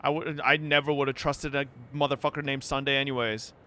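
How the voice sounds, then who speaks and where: A man's voice: mid-range voice, level tone, brisk, pushed and bright, clear, resonant tone. A man doing brash, profane live reaction commentary as he streams a game story, heckling as he goes.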